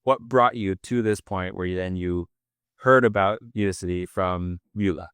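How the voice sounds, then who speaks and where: Smooth-voiced, upbeat male interviewer. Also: sits low, moderate pace, fairly bright tone, dry recording, studio quality. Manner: upbeat, encouraging, enthusiastic. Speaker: interviewer, host.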